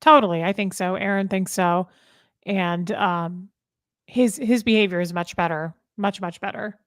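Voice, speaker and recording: A woman's voice: mid-range voice, fairly even intonation, unhurried, no echo, clean studio sound. A woman guest on a live stream, answering questions in an agreeable, informal, chatty way. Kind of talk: casual chat, answering viewer questions.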